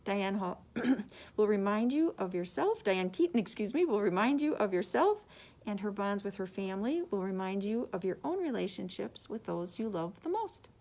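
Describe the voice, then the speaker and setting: A woman librarian presenting book picks, reading prepared summaries aloud in a measured, deliberate way. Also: mid-range voice, very animated, a little mellow.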